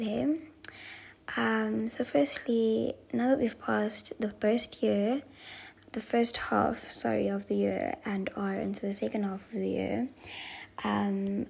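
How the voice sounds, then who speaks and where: A woman in her twenties with a South African accent, hosting a solo podcast and reflecting softly and thoughtfully, with frequent pauses and ums. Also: mid-range voice, gravelly, slightly rough. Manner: soft-spoken, slow and thoughtful with frequent ums. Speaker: podcast host.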